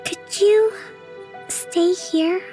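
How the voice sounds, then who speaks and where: A woman voicing a gentle, earnest young priestess who leads her island, speaking formally and with measured articulation in a video game. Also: extremely high pitch, heavily acted. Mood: soft, reflective. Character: young female priestess leader, gentle, composed, earnest strategist. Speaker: military and spiritual leader of an island. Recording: background music behind the voice.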